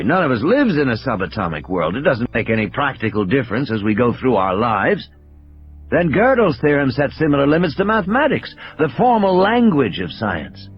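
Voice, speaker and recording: An intense, ailing male scientist, acted by a man reading an audiobook lectures grimly over music in slightly muffled audio. His delivery is emphatic and rhetorical, with a very animated melody. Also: mid-range voice. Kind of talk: audiobook character dialogue. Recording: dull lo-fi audio, music behind.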